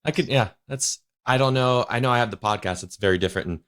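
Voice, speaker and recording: A man's voice: mid-range voice, fast, a bit bright, clean tone. A young man hosting a podcast, chatting casually and rambling, with lots of "you know" and "like". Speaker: podcast host. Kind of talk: casual interview chat.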